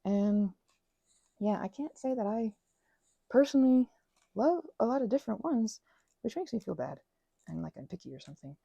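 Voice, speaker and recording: A young woman chatting in a rambling, casual way while she works on a craft project, sometimes dropping into soft whispers. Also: on the high side, animated, normal speed, creaky, pauses often, slightly rough, no room sound, muffled recording. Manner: chatty, rambling, drifts between soft whispers and louder asides. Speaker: ASMR creator.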